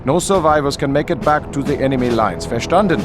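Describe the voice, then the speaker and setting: An actor playing a stern German army commander in a World War II game, with curt, commanding radio delivery. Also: male voice, mid-range voice, expressive, fairly quick, music in the background, lightly acted. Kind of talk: video game cutscene acting.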